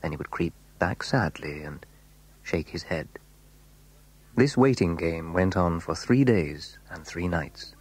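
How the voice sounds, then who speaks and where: A man with a husky voice narrating a scripted children's story as its storyteller. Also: low-pitched, lively intonation, slow, a little mellow, rough recording.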